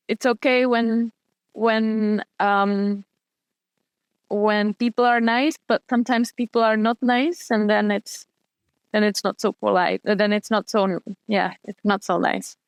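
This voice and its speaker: A woman's voice: fairly high pitch, smooth, dry recording. A young woman in an interview, describing her sport and career in a hesitant way, with frequent restarts and fillers. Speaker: guest, wing foil and kiteboard athlete. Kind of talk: casual interview answers.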